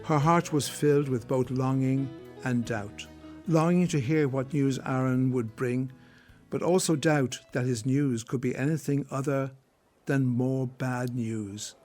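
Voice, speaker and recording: A man's voice: medium pitch, a little slow, a little mellow. Male storytelling narrator over music.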